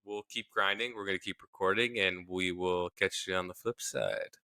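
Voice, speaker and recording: A young man with a low, bright and smooth voice, chatting in a laid-back, easygoing way. He laughs a lot and tends to repeat himself as he talks. He sounds like a podcast co-host recording from home. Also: steady tone, normal speed. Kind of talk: casual chat. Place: home setup, remote recording.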